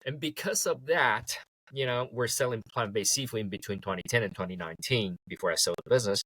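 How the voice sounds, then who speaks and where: A man, a guest talking in a relaxed way and laughing easily. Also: medium pitch, fast, dry recording, rough recording. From Taiwan. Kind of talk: casual interview.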